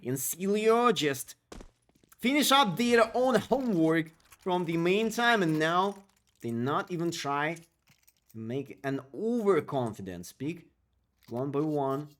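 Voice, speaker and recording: A man casting an esports match solo on a live stream, speaking non-native English with slightly broken phrasing and chatting with viewers between plays. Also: a little high. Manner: excitable play-by-play calls, chatty with viewers. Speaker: esports caster. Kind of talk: solo live game commentary and chat replies.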